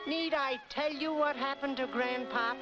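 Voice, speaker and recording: A skeptical american everyman in a 1940s cartoon, really high voice, slangy, takes his time, dull old soundtrack. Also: fairly even intonation, measured pace. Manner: slangy, folksy, doubting. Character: Joe, skeptical ordinary American working man, brash but easygoing. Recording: muffled vintage film soundtrack, loud music.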